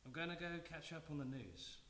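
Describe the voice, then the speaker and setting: An actor playing an apologetic, uneasy bereaved husband, tentative and defensive, in a horror audio drama. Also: male voice, fairly high pitch, lively intonation, a little fast, fairly bright tone. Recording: faint background noise.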